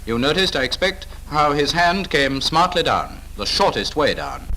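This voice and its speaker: A British man narrates a wartime-era 1940s training film with clipped authority. His voice is bright and fairly high, swinging in pitch as he gives firm instruction. It has the sound of an old film soundtrack. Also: very animated, moderate pace, poor audio.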